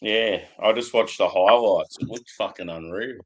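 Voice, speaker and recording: A man talks in a relaxed, blunt way and swears without a second thought. His voice sits on the low side. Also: normal speed, rough recording. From Australia. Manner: laid-back, blunt, swears freely. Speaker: beer reviewer. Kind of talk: casual chat.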